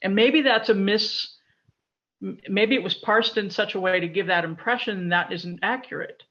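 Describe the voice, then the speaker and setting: A man questioning the board in an online meeting, halting and correcting himself as he goes. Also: high-pitched, sharp tone, dull audio. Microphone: video call.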